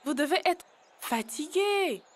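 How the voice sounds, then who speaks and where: A woman's voice: sits high, expressive, a little hiss or hum. A woman voicing a clever, encouraging young child in an educational cartoon, reasoning step by step. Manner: deliberate, step-by-step.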